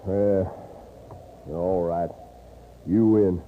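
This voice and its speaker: An actor playing a cocky, grumbling frontier gambler with a folksy Western drawl in a radio drama. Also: male voice, sits low, fairly even intonation, extremely slow. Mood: grudging, cocky. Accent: American Western drawl. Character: brash, grumbling frontier gambler and horse racer. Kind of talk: old-time radio western acting. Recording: noisy, narrow-band old radio recording.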